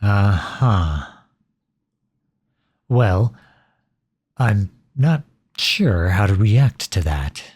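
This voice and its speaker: Male, actor in character, grumpy tsundere for ASMR roleplay: low, husky, unhurried, sarcastic and exasperated. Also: animated, darkish tone, full character voice. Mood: puzzled, wary. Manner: sarcastic, flustered, grudging.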